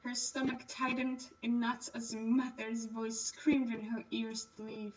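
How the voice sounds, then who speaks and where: A woman's voice: a little high, little pitch movement, unhurried. A woman streaming a game, reading a visual novel aloud with casual asides. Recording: distant, slightly dull microphone.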